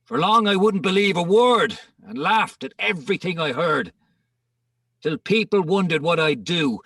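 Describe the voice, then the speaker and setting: A man's voice: on the high side, fairly bright tone, no echo, studio quality, recorded from a distance, heavily acted. A man reciting comic verse as a bitter, bawdy husband, theatrical and scornful. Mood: bitter, wry. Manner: scornful, theatrical, relishing the insults. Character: bitter, bawdy old Irish husband ranting in court.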